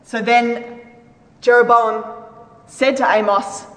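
A woman's voice: on the high side, fairly even intonation, slow pace. A woman giving a solemn, declamatory dramatic reading of scripture with emphatic delivery. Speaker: reader in a church dramatic reading. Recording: echoey hall with long reverb.

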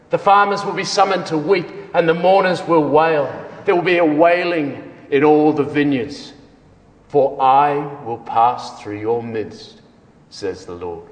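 A man's voice: on the high side, lightly acted. A man performing a dramatic scripture reading as a stern, wrathful God speaking through a prophet, solemn, declamatory and emphatic. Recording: echoey hall with long reverb.

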